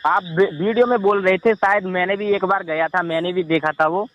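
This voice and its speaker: A man with a high voice talks on a recorded phone call. He is plainspoken and halting, trying to interject and hold his ground in a casual argument. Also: steady tone, a bit bright, no room sound. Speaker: caller.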